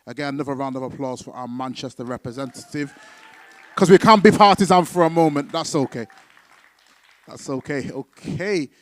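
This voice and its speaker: A man's voice: fairly high pitch, moderate pace, no echo, a faint crowd. A man hosting a live poetry event, talking casually and hyping the audience. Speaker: event host.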